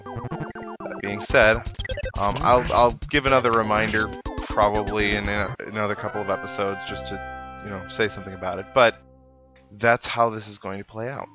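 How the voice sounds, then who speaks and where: A man's voice: on the low side, sharp tone. A young man giving casual, rambling video game commentary with reflective asides. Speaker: let's play host. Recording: voice-over mixed with game music. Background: video game music.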